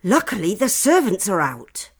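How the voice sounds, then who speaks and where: A storyteller voicing a brisk, kindly, proper aunt in a children's storybook, with an exclamatory, old-fashioned manner. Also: medium pitch, huge pitch swings, slightly rough, pitched above the performer's own voice, heavily acted. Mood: brisk urgency.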